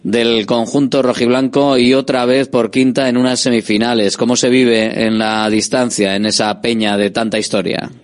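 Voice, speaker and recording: A man's voice: mid-range voice, little pitch movement, normal speed, hardly any pauses. A man hosting a radio sports show, interviewing guests in a friendly, chatty way.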